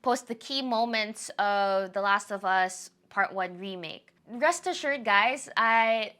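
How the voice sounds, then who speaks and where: Bright-voiced woman chatting to camera. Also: medium pitch, slow pace, clean tone. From Philippines. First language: Tagalog. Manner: chatty, apologetic, rambling with self-corrections. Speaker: YouTuber giving a channel update. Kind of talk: casual chat to camera.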